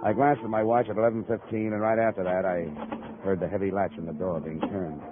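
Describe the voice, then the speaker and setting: Very low male voice, American, tough clipped detective played by an actor, old radio drama, noisy muffled recording. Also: level tone, fast, natural voice. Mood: grim, steady. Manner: clipped, hard-boiled. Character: tough, terse male insurance investigator. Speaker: lead actor. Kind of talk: 1950s radio detective acting and first-person narration.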